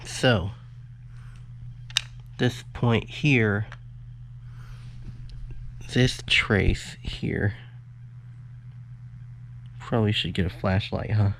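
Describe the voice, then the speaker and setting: A man's voice: fairly low pitch, very slow, creaky, pauses often, fairly bright tone, a little hiss or hum. A man giving a casual hands-on electronics tutorial, informal and muttering the odd aside as he works. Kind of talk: casual hands-on how-to narration.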